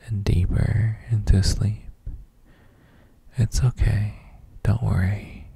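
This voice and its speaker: A man playing a gentle, doting boyfriend in a sleep ASMR roleplay, murmuring soft reassurances in a breathy, gravelly near-whisper with hesitant hums. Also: lowish voice, no echo, heavily acted.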